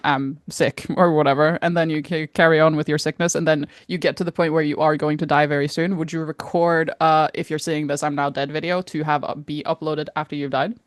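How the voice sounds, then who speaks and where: A woman's voice: sits low, little pitch movement, a little fast, hardly any pauses, no echo. Casual, inquisitive woman podcaster in dry sound. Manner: informal, inquisitive, laughs readily. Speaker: podcast co-host. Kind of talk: casual chat.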